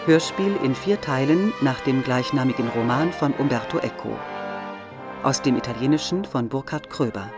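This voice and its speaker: Radio play announcer: man, medium pitch, scripted titles, music bed clearly audible.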